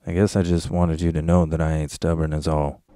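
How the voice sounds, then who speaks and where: Man acting a deep-voiced, weary rancher father. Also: little pitch movement, a little fast, mellow, no room sound, studio quality. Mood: earnest, weary. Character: weary, earnest rancher father, plain-spoken and stubborn. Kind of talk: amateur audio drama acting.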